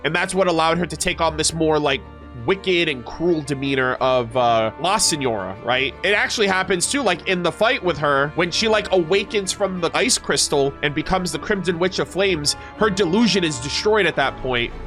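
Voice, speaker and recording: A man's voice: fairly high pitch, animated, normal speed, fairly bright tone, faint music behind. A man giving casual reaction commentary on a game as a streamer, slangy and effusive, full of exclamations.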